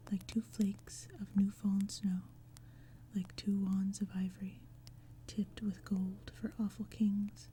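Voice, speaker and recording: A woman reading poetry in a soft, breathy ASMR whisper, with a gentle, hushed storytelling manner. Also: mid-range voice. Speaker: audiobook reader. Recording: faint ambient crackling fire and forest sounds. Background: crackling fire, forest ambience.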